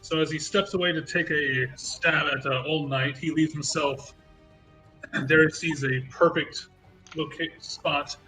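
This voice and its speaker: A man's voice: on the high side, fairly even intonation, a little slow, bright, forceful tone, soft background music, rough recording. A man playing a tabletop role-playing game, thinking aloud about tactics and describing his character's attacks. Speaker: player. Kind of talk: casual play over voice chat.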